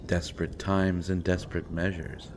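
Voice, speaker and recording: An actor playing a menacing, sardonic male assassin held captive in a dramatic audio roleplay, brooding and deliberate, with dry mockery and dramatic pauses. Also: sits low, level tone, background noise. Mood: grim, probing.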